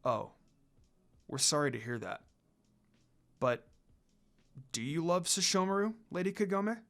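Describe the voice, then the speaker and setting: A man's voice: on the high side, big pitch swings, measured pace, a bit bright, soft background music, exaggerated voice. A man voicing a courteous, reassuring young male companion in a dramatic story reading. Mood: sympathetic.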